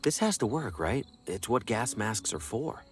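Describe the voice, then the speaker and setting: Wary everyman hero, lively pitch. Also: measured pace, faint music behind. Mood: musing, cautious. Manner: hesitant, wry. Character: wary, awkward everyman salesman hero, dryly skeptical. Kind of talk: video game voice acting.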